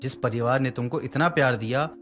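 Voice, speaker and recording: A man's voice: mid-range voice, fairly quick, fairly bright tone, faint music behind, natural voice. A man playing a protective young stepbrother in a moral story, speaking earnestly and firmly. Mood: reproachful. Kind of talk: dramatized moral story acting.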